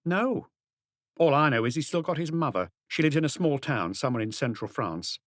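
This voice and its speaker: A man's voice: on the high side, expressive, brisk, fairly bright tone, no room sound, clean studio sound, voice pushed up. A man voicing a tough, distrustful middle-aged woman with curt, scornful retorts in an audiobook. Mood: wary. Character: stony-faced, tough, distrustful middle-aged French woman, defiant and defensive. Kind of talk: audiobook character dialogue.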